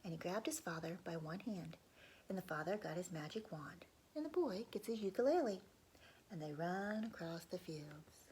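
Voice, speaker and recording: A woman telling a story to young children in an expressive read-aloud style, often dropping into hushed asides to her listeners. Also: on the low side, normal speed, slight background noise, low-quality recording.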